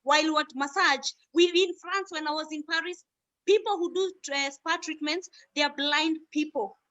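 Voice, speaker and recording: A high, sharp-toned woman from Kenya speaking as a diaspora guest. She argues with passion and insists on being heard, pressing on even as the others try to cut in. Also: normal speed, muffled recording. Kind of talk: heated panel discussion.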